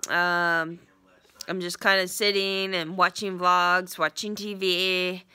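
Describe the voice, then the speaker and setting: A young woman talks into her phone from the couch, her voice stuffy and congested from a sinus infection. Her tone sits fairly low yet bright and clear, and she rambles along with plenty of ums and restarts. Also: flat delivery, slow pace. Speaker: vlogger. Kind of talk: casual vlog update. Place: small living room indoors, on a couch. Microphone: phone front camera at arm's length.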